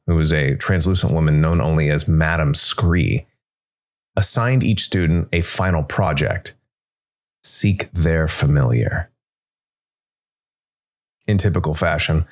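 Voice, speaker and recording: A man narrating a scripted dark fantasy story in a measured way, with dramatic pauses. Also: very deep voice. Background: background music.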